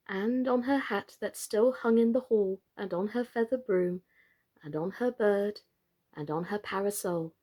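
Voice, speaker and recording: A woman reading and presenting stories for children, expressive and addressing her listeners directly. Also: on the high side. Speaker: children's story reader and online storytime presenter. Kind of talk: storybook narration and friendly presenting to children.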